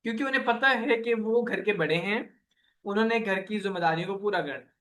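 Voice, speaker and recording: Male workshop coach, high-pitched and earnest, motivational preacher-like delivery. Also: brisk, a bit bright, a little hiss or hum. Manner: earnest, preacher-like, repeats "okay" often; voices the listeners' questions and prayers. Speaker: online earning coach.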